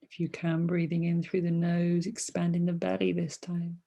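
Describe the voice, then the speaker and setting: A woman leading a guided meditation as a teacher, speaking softly and soothingly in short phrases with long pauses between them. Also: on the low side, completely flat delivery, vocal fry. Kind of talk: guided meditation, body scan.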